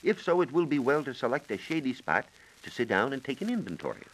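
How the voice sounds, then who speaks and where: An American man giving measured, precise instructions as a science reporter on a scripted 1940s radio program. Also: mid-range voice, very animated, poor audio. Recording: 1940s radio broadcast recording.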